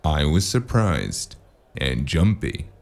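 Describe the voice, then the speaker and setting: A man playing a laconic, world-weary cyberpunk investigator in a noir audio drama, gravelly, terse and deadpan. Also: takes it slowly, full character voice. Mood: dry, defensive. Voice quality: very deep, gravelly.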